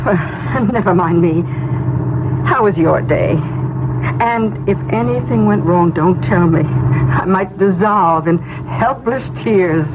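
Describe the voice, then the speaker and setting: An actress playing a frazzled, long-suffering middle-aged American suburban wife in a 1970s radio drama. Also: female voice, mid-range voice, takes it slowly, natural voice. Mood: harried. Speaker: radio actor. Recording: noisy, tinny old radio broadcast.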